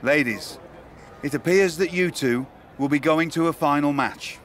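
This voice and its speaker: An actor playing a dry-witted wrestling tour organizer with a formal, wry way of speaking, in a video game cutscene. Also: male voice, fairly high pitch, a little slow, fairly bright tone.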